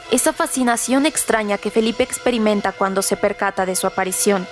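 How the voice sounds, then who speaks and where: A woman reading scripted literary trivia in a measured way, as a radio presenter. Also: fairly high pitch. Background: background music.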